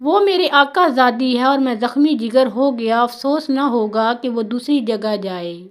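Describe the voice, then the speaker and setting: A woman's voice: on the high side, expressive, lightly acted. A woman voicing a lovesick, wounded young male servant in a told tale, plaintive and reproachful. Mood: heartbroken.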